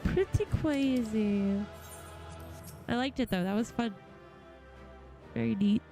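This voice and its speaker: A woman with a high voice chats casually as she streams a game. She is playful and goofy, takes her time, and has music playing behind her. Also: takes it slowly, mellow. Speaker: livestreamer playing and voicing game dialogue. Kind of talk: casual game commentary and reactions.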